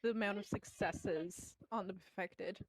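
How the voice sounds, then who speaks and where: A woman's voice: mid-range voice, a little slow, a crowd in the background, poor audio. A woman chatting casually as a player in a tabletop roleplaying game. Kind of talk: casual chat.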